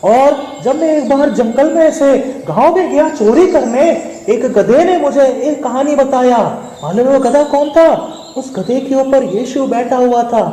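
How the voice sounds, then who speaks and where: High-pitched cheeky monkey, echoey. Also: male voice, a little slow, dark tone. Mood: earnest. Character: small cheeky talkative male monkey, wary but helpful. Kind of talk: puppet show dialogue. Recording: echoey hall with background noise.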